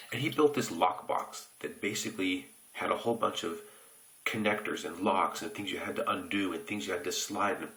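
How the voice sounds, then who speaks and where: An American man telling a childhood story in a relaxed, reflective way while shaving, recorded on a phone camera in a bathroom. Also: sits low, a little hiss or hum, low-quality recording. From United States. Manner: reflective, unhurried anecdotes with pauses. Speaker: shaving reviewer.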